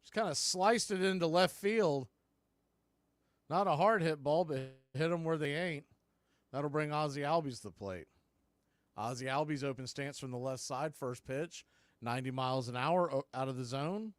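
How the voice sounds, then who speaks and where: A man with a slightly high voice calls a baseball game live. He talks like a devoted fan, informal and colloquial, in the style of play-by-play commentary. The sound is close and dry, with no room echo. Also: normal speed. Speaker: baseball play-by-play announcer for a fan watch party.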